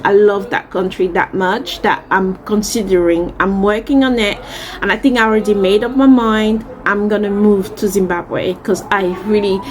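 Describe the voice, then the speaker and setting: A woman from Central Africa talks casually to her viewers in a vlog. Her voice sits at an average pitch, and she is effusive, leaning on repeated words for emphasis. Faint ambient noise runs behind her. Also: poor audio. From Central Africa, Congolese and Cameroonian. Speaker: vlogger. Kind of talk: casual chat to camera.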